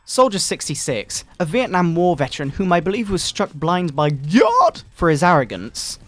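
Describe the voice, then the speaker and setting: A pompous fundamentalist doctor, acted by a man as satire, sermonizes with theatrical indignation. The voice sits slightly high and swings widely in pitch, with retro video game music playing softly behind it. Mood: accusing. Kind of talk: dramatic satirical scripted reading.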